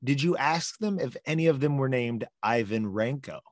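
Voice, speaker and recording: Wisecracking man, lively voice over music. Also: mid-range voice, normal speed. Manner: joking, wisecracking. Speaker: podcast co-host. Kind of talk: casual chat.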